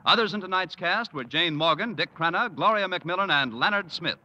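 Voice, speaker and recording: A man's voice: high-pitched, lively intonation, brisk, background noise. A man announcing and reading commercials for a 1950s network radio comedy in a projecting, salesy manner.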